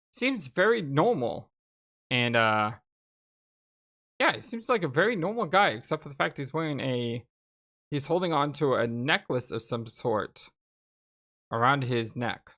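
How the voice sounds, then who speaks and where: A woman's voice: a bass voice, big pitch swings, unhurried, long pauses, fairly bright tone. A woman hosting a let's play, switching between reading game narration aloud and casual commentary. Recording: game music behind. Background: video game music.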